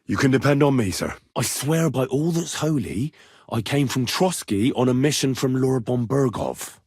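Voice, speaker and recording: Casting: brash young medieval squire, husky, lively intonation, blunt and quick-tempered game hero, dry close sound. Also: male voice, medium pitch, dry recording. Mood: earnest. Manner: blunt, colloquial, assertive. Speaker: video game protagonist. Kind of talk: video game dialogue acting.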